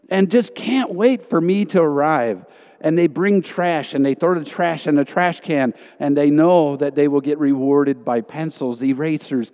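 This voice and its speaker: A man's voice: on the high side, a little mellow. A man giving an earnest, emphatic testimony in a church hall, telling stories from his missionary teaching. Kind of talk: sermon testimony. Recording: reverberant hall.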